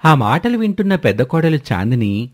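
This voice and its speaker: A man's voice: medium pitch, sing-song, normal speed. A man narrating a scripted moral story as its storyteller.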